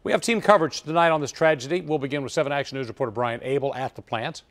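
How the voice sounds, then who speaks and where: A man's voice: mid-range voice, fairly bright tone. A man anchoring the evening news, reading polished scripted introductions in a studio. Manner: brisk, polished delivery.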